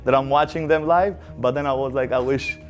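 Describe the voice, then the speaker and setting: A man's voice: mid-range voice, steady tone, a little fast, dull audio. Man chatting over music. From Albania. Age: 30s. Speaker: contestant in a fan panel game. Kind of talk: casual group chat.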